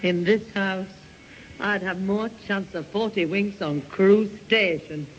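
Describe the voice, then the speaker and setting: A woman's voice: mid-range voice, steady tone, slow, natural voice. A woman playing a weary, exasperated Northern English mother in a vintage radio sitcom, long-suffering and sardonic. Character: weary, exasperated mother wanting some rest. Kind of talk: 1970 radio sitcom acting. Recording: dull, noisy vintage radio recording.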